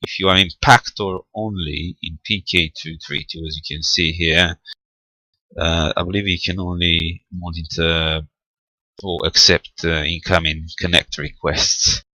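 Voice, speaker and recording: Deep-voiced, slow-talking man giving a tutorial. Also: flat delivery, bright, forceful tone, no echo, rough recording. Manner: halting, matter-of-fact, thinking aloud. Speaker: amateur radio demonstrator. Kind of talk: unscripted hands-on technical tutorial.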